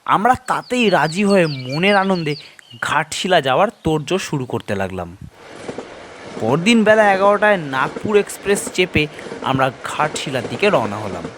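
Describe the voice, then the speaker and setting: A man's voice: a little high, big pitch swings, normal speed, fairly bright tone, slight background noise. A man narrating a scripted horror story in the first person, in a dramatic storytelling style.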